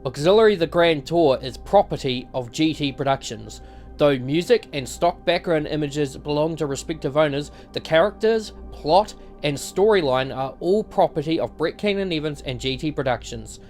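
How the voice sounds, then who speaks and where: A man's voice: on the high side, lively intonation, moderate pace, a little mellow, faint music behind. A man reading scripted narration as an announcer.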